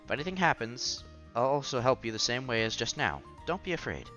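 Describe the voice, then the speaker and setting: Bright-voiced, animated man reading aloud. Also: medium pitch, a little fast. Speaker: streamer reading a visual novel aloud. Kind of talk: casual read-aloud with offhand commentary. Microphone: headset. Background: soft music.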